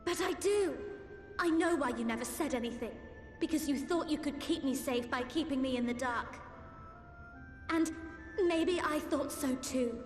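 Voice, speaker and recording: A woman's voice: high-pitched, moderate pace, close to an everyday voice. A woman playing an earnest, hesitant but resolute teenage girl in a fantasy video game cutscene. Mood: pleading, resolute. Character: earnest teenage girl, a young seer's ward in a fantasy world. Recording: echoey hall reverb, music behind. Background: faint music.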